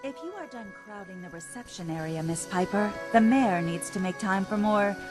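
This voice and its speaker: An actress as a snippy receptionist, medium-pitched. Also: female voice, expressive, normal speed, music in the background, natural voice. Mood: condescending. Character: snippy, prim female receptionist guarding the mayor's office. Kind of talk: video game voice acting.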